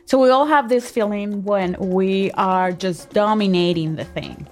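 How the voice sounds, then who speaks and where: A woman's voice: mid-range voice, unhurried, soft background music. Woman with a Spanish-speaking accent. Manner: playful, earnest, mixes Spanish words in. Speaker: podcast co-host, marketing expert. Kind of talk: casual podcast chat.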